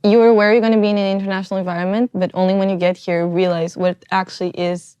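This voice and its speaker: A young woman from Portugal, a student, talking in a relaxed, chatty way with many fillers. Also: medium pitch, quick pace, smooth, dry recording. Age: young adult. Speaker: student guest. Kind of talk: casual chat.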